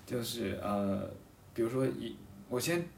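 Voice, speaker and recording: A man chatting casually and reflectively as a guest, thinking aloud in a halting way and often repeating his phrases. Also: medium pitch, expressive, creaky, recorded from a distance. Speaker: podcast guest talking about a hobby of seal carving. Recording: roomy echo, off-mic, faint background noise.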